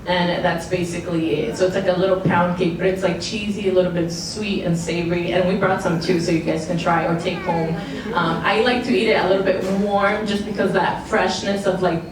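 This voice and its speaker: A woman's voice: on the low side, little pitch movement, fairly quick, a crowd in the background. A young adult woman, a baker on a panel, chatting casually and using lots of "like". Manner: casual, many fillers and "like". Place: museum event space. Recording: very echoey room from far off, noisy.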